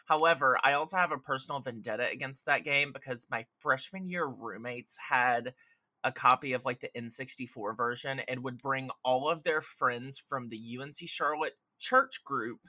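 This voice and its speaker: A man's voice: medium pitch, moderate pace, bright, forceful tone, no echo. A man talking as a podcast guest in casual, opinionated banter, blunt and sardonic with some mild swearing.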